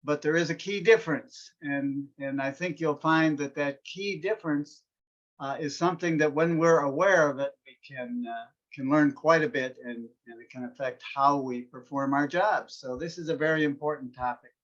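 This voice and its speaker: A man's voice: on the high side, normal speed, a bit bright. Man hosting with muffled audio. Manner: clear, explanatory. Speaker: interview host. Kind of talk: interview on aviation.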